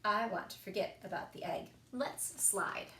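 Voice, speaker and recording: A woman voicing a plucky, excitable young female penguin in a children's storybook, with an emphatic, exclaiming delivery. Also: mid-range voice, unhurried. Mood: fed up. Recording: echoey room.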